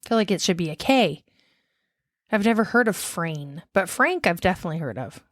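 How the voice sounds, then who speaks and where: A woman co-hosting a podcast in casual chat, chatty and quick to react, often laughing. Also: fairly low pitch, lively intonation, dry recording, studio quality. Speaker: podcast co-host.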